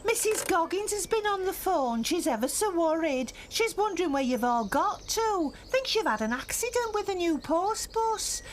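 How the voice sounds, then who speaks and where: A woman's voice: very high-pitched, very animated, normal speed, few pauses, slight background noise. A woman voicing a hospitable, fussing farmer's wife in a children's cartoon. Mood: concerned. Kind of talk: children's cartoon character acting.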